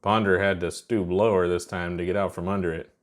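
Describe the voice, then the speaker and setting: A man's voice: sits low, level tone, a little fast. A man narrating a scripted fiction story as its reader.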